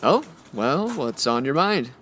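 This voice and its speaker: An actor playing a wry, easily exasperated 1940s newspaper photographer in a radio comedy. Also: male voice, medium pitch, big pitch swings, slow, fairly bright tone, slight background noise. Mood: friendly, concerned. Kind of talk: old-time radio drama acting.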